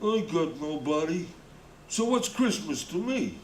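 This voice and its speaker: A man's voice: a little high, big pitch swings, measured pace, pitched above the performer's own voice. A man voicing a stingy, suspicious fence who haggles in a whiny, needling, streetwise manner for a radio play. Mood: mournful. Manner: streetwise, needling, answers in questions. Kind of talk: radio drama acting. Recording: roomy, with faint background noise.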